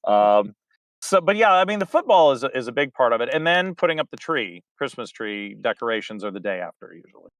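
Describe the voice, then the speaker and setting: A middle-aged man with an average-pitched voice talks casually as an interview guest. He is easygoing with a dry sense of humor. The recording is close, with very little room sound. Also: big pitch swings, a little fast, fairly bright tone, no room sound, poor audio. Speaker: interview guest, local theatre director. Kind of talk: casual chat.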